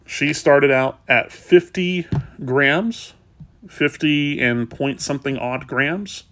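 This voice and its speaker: A man with a stuffed-up, sniffly voice, talking casually and softly about rescuing kittens, with many pauses and fillers. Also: medium pitch. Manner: soft, rambling, with fillers. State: congested, sniffling. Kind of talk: casual vlog talk.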